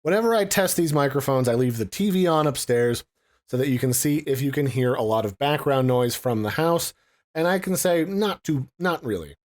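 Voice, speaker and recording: A man's voice: medium pitch, expressive, moderate pace. A man giving a conversational, informal product review, explaining things in a casual unboxing style.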